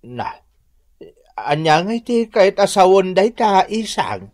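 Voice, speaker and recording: A sheepish village drunkard in a radio drama, with a Filipino accent. He drags his slurred words slowly, grunting and hesitating, his pitch swinging up and down. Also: sing-song, darkish tone, dry recording, clean studio sound. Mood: surprised, grateful. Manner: halting, full of grunts and hesitations. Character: Mang Tokyo, hard-drinking, sheepish village man. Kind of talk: Ilocano radio drama acting.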